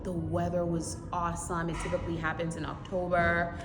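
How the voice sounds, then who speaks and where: Lively woman runner, low voice, echoey. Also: a little fast. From Haiti. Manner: animated, laughs often, emphatic repetition. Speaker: marathon runner, interviewee. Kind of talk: casual interview. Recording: echoey, reverberant with loud ambient noise.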